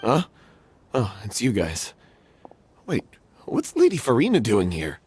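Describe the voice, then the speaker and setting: A man's voice: medium pitch, wildly swooping pitch, unhurried, darkish tone, slightly rough, full character voice. A man voicing a hesitant, worried young actor in a theatre troupe. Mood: uneasy.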